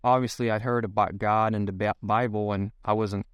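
A man's voice: fairly low pitch, steady tone, brisk, clean tone, no room sound, lo-fi sound. A man in his thirties from Sweden with a Swedish accent, speaking English, reflecting as a podcast guest with many fillers and restarts. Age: 30s. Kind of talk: casual podcast interview.

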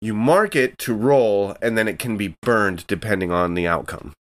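A man's voice: on the low side, moderate pace, a bit bright. A man playing a tabletop game, describing his character's actions with hesitant fillers. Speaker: player.

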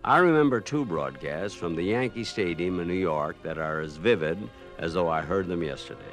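A man with a deep voice narrates a scripted radio retrospective in a reminiscing way. Soft music plays behind him. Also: expressive, normal speed.

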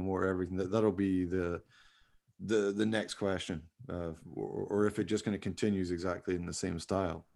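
A man's voice: low voice, vocal fry, a little mellow. A man chatting casually and in a rambling way as a podcast host. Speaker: podcast host and radio play maker. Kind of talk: casual chat.

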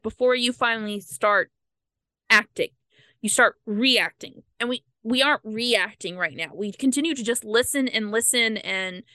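A woman co-hosting a casual book discussion podcast, talking earnestly with quick asides. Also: on the high side, bright, forceful tone, no echo. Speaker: podcast co-host.

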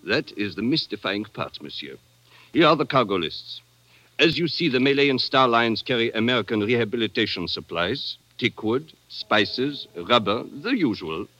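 Bright-voiced, formal French businessman. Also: mid-range voice, lively intonation. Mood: businesslike. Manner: formal, measured phrasing. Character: suave, guarded French shipping office manager in colonial Saigon. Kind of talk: old-time radio drama acting. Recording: dull vintage radio drama recording.

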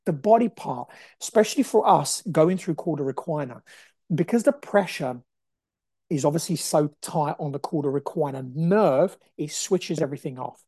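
A man in his forties from Brazil hosts a solo podcast, pushing a motivational message in an emphatic, repeating way. His voice has a mellow, relaxed tone. The room sounds dry and close. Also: fairly high pitch, moderate pace, slightly rough, no room sound. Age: 40s. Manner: emphatic, repetitive, preachy. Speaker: podcast host. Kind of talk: motivational monologue.

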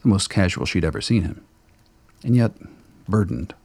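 A man's voice: deep voice, animated, moderate pace, a little mellow, slightly rough. A man reading scripted narration for a radio drama as its narrator.

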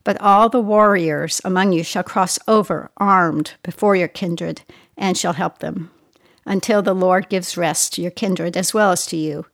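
A woman reading scripture aloud in a solemn, measured, deliberate way. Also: lowish voice, steady tone, vocal fry, no room sound, studio quality. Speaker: scripture reader.

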